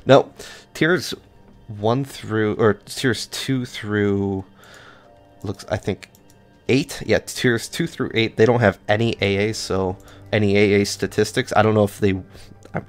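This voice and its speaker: A man's voice: lowish voice, fairly even intonation, takes it slowly, faint music behind. A man hosting a gaming channel, casually walking through ship statistics in a rambling, offhand way with many fillers and restarts. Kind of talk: casual gameplay stats walkthrough.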